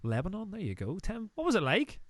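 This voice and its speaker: A man's voice: sits high, wildly swooping pitch, fairly quick, a bit bright, no room sound. Northern Irish male host, thirties, casual and jokey, wanders off on tangents. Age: 30s. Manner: chatty, joking, rambling asides. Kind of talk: casual live-stream interview chat.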